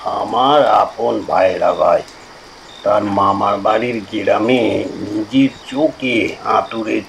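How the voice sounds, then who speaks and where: A man's voice: on the low side, creaky, a little hiss or hum, poor audio. An actor playing an older village man telling an uncanny tale from his youth in an audio drama, conversational and emphatic. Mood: earnest, insistent. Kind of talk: audio-story dramatic storytelling.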